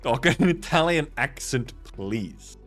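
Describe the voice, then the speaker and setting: A man's voice: mid-range voice, animated, a little slow, fairly bright tone, soft background music. A young man streaming himself reading a game story, making casual, jokey asides and swearing now and then.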